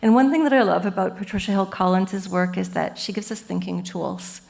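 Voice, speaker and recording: A woman professor lecturing to an academic audience, her voice carrying a noticeable gravelly fry at mid pitch. She talks in an engaged, earnest way, reaching for homely metaphors with plenty of "you know" and "um.". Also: a little fast, a little mellow. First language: English. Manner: engaged, explains ideas through everyday metaphors. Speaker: professor giving a symposium talk.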